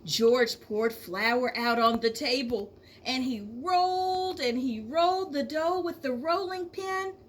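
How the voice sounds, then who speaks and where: A woman reads a picture book to young children in a fairly high voice. Her delivery is slow and highly animated, stretching key words for emphasis the way a schoolteacher does. There is faint noise behind her. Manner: expressive, addresses young listeners with questions and drawn-out emphasis. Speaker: elementary school teacher reading aloud. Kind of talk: children's storybook read-aloud.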